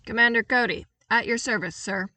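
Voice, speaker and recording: A woman's voice: on the high side, fairly quick, pushed and bright, dry recording, voice pushed up. A woman voicing a dutiful male military commander, formal and firm, in a fan fiction audiobook.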